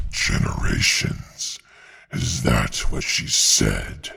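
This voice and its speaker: A man playing a menacing, mysterious dragon with a growling rasp, speaking slowly and ominously in an audio drama interview. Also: pushed and bright, exaggerated voice. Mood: scornful, menacing. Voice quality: deep, gravelly, growling rasp. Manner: slow, deliberate, ominous. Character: menacing, mysterious male dragon who cooks in a diner.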